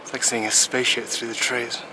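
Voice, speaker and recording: A man giving relaxed, unhurried vlog commentary outdoors, musing aloud into a camera's built-in mic. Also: medium pitch, background noise. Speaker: vlogger filming a ski trip. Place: outdoors on a ski chairlift. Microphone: handheld camera's built-in mic.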